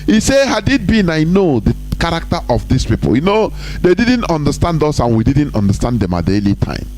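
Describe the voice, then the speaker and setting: A man hosting a live online broadcast, talking emphatically, rising high to stress points and dropping low for asides. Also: sing-song, brisk, a little mellow, soft background music.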